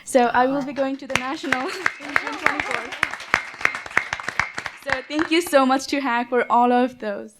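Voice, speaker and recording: A young woman from Bangladesh, a student speaking on a panel, halting with many fillers. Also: high-pitched, a loud crowd, heavy background noise. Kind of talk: panel talk.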